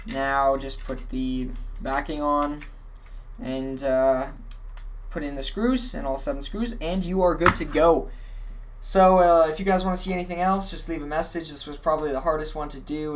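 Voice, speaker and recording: A man's voice: fairly high pitch, steady tone, normal speed, a little hiss or hum. A man hosting a casual how-to walkthrough, talking in a rambling, offhand way and correcting himself often, his voice tired and a little worn. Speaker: tutorial host.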